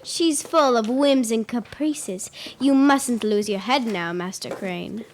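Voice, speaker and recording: A high female voice acting out a village midwife and widow in a radio play. She cautions and gossips with a foreboding turn, in old-fashioned storybook speech. The recording carries clear noise and clicks. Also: slow pace. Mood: cautioning.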